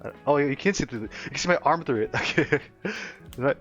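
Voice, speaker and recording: A man's voice: on the high side, expressive, fairly quick, fairly bright tone. A young man streaming live, reading chat aloud and rambling casually with lots of fillers and frequent laughter. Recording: slightly dull lo-fi stream audio with faint music. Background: faint background music.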